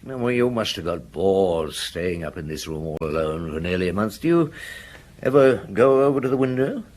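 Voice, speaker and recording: An actor playing a patient, shrewd police detective in a radio drama, coaxing answers in a husky voice. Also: male voice, low voice, lively intonation, darkish tone. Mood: gently probing. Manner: probing, coaxing, unhurried questioning. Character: patient, shrewd male police detective gently questioning a boy.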